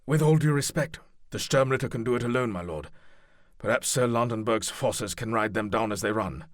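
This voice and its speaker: A man's voice: mid-range voice, normal speed, dry recording, clean studio sound, pitched above the performer's own voice, natural voice. A man reading a novel aloud, voicing a mercenary captain who speaks respectfully yet confidently to his lord. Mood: deferential. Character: deferential male mercenary captain.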